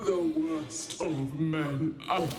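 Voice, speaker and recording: A broken spirit, chained and forgotten, speaking at an extremely slow, incantatory pace. The fairly high male voice rings with heavy echo under loud game music, every fragment vengeful and riddling. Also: steady tone, noisy background. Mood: bleak, bitter. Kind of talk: dramatic video game acting.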